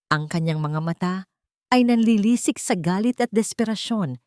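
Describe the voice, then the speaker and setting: A woman with a Filipino accent narrates a scripted dramatic story in a measured, solemn, suspenseful way. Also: mid-range voice, darkish tone, dry recording, studio quality. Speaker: storyteller, narrator of audio stories.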